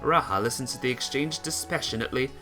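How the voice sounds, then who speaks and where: A man's voice: mid-range voice, fast, sharp tone, soft background music. A man reading a visual novel aloud as amateur narration, sometimes stumbling and rereading phrases.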